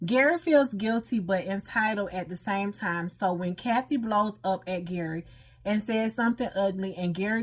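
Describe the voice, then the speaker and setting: Female American online host, conversational and informal, mixing read-aloud lesson material with her own off-the-cuff commentary. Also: mid-range voice. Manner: informal, conversational, asks listeners rhetorical questions. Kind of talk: casual talk-through of read material with personal commentary.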